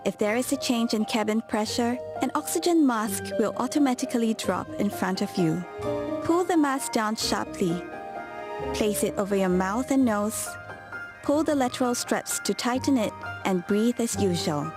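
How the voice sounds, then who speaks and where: Loud music plays behind a woman's fairly high voice. She reads scripted safety instructions as the narrator of an airline video. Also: lively intonation, normal speed.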